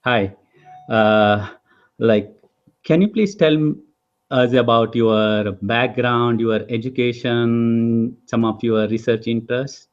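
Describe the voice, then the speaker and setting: A man's voice: medium pitch, fairly even intonation, takes it slowly, dull audio. A man hosting an interview over a video call, asking questions hesitantly with many fillers. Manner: hesitant, many fillers, frequent listener backchannels. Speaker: host. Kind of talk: interview host.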